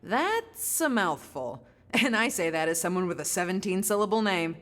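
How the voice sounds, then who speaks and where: A woman's voice: on the low side, very animated, normal speed, big-room sound. A woman playing a wry, practical treasure hunter in a video game, dry and clipped. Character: wry, laconic female vault hunter, coolly practical. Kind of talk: video game character dialogue.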